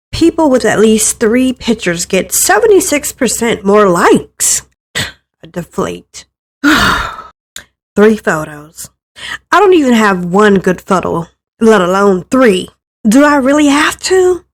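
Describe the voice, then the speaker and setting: A woman with a medium-pitched voice reads a story aloud in the first person. Her delivery is conversational and expressive, and she acts out the lines as she goes. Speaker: visual novel player reading aloud.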